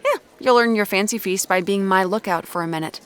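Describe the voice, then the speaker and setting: A woman's voice: medium pitch, a little fast, smooth, lightly acted. A woman playing a determined, dryly frank amateur detective in a naturalistic audio drama. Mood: stubborn. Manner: dry, frank, stubborn. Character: determined amateur woman detective hunting her sister's killer.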